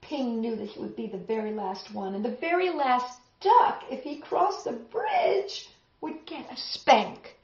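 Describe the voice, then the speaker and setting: A middle-aged woman reading a picture book aloud as a storytime host, expressive and dramatic, addressing young listeners directly. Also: a little high, a little slow. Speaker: children's storytime host and reader. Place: small home room, indoors, seated on a sofa. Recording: roomy, slightly dull home video sound.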